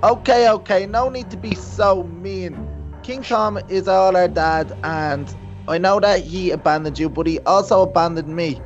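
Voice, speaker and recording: Guy doing cocky wimpy prince brother, very high voice, dull lo-fi mic, game roleplay. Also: unhurried. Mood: defensive. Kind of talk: improvised children's game roleplay. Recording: dull lo-fi mic, faint music.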